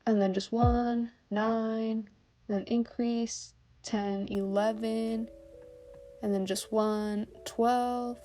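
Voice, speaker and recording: A woman's voice: fairly high pitch, little pitch movement, drawn-out words. A woman narrating a step-by-step craft tutorial, often counting aloud, as its host. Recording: soft music bed under voice. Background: background music.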